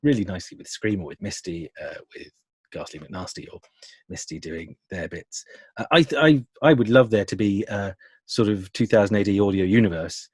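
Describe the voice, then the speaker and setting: A man's voice: lowish voice, brisk, breathy edge, no room sound. A man talking enthusiastically in an interview as an audio producer, wordy and often rephrasing as he goes. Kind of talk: conversational interview about creative work.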